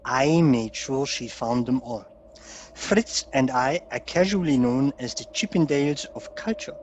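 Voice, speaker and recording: An actor playing a wry, genial hunter from a magical painting in an unhurried, theatrical audio play style. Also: male voice, mid-range voice, a little hiss or hum, natural voice. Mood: wry, dreamy.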